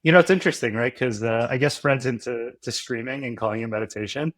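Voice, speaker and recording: A man's voice: medium pitch, quick pace, a bit bright, no room sound, clean studio sound. A man chatting casually as an interview guest, often checking in with "right?" and sprinkling in "like". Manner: frequent "like" and "right?" tags. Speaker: podcast guest, investor.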